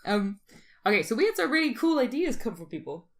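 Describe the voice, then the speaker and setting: A woman's voice: fairly high pitch, big pitch swings, fairly quick. Playful woman chatting casually. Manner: playful, teasing, informal. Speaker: podcast co-host. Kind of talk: casual podcast chat.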